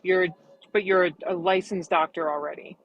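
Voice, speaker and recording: A woman's voice: on the low side, fairly even intonation, normal speed, highs cut off. A woman panelist on a live chat, bluntly questioning a guest.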